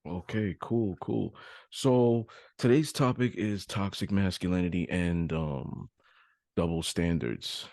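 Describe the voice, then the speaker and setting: A man's voice: low voice, slow pace, a little mellow, dry recording, clean studio sound. A man hosting a podcast, interviewing in an informal, conversational way. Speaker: podcast host.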